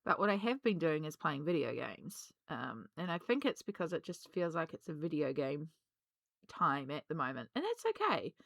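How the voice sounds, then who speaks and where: A woman, slightly hoarse from a cold, chatting casually and rambling with many ums while she colours. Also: low-pitched, sing-song, normal speed, fairly bright tone, no echo, studio quality. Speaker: colouring vlogger.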